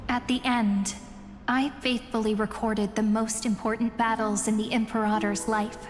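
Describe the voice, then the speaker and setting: A reflective chronicler voiced by an actress narrates in a fairly high feminine voice. She tells her memories earnestly, in literary phrases, over music with a long reverb. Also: little pitch movement, normal speed, natural voice. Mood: wistful, reflective. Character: reflective female chronicler recalling her ruler's life. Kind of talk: scripted game narration.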